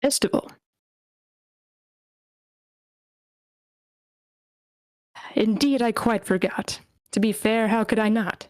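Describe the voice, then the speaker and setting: A woman's voice: on the high side, normal speed, close to an everyday voice. A woman playing a haughty, disdainful rich noble in a screenplay table read over an online call. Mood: musing.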